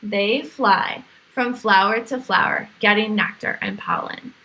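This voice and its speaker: A woman reading aloud as a teacher to young children, with animated exclamations, questions and explanatory asides. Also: mid-range voice, a little slow, vocal fry, rough recording. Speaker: teacher reading to a virtual class.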